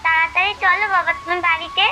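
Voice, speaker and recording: A woman's voice: very high voice, steady tone, quick pace, over music. A woman voicing an earnest young village girl, a devoted and pleading daughter in a cartoon. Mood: urging. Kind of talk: Bengali cartoon voice acting.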